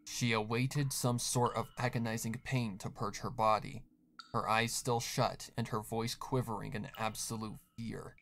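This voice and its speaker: A man narrating a horror fan story in a steady, hushed, dramatic voice. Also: lowish voice, unhurried, creaky, slight background noise. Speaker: fanfic reader, narrator. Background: music.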